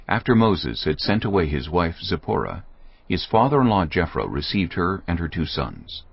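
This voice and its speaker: A man narrating scripture in a measured, expressive reading. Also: deep voice, vocal fry, slightly rough, no echo, dull audio.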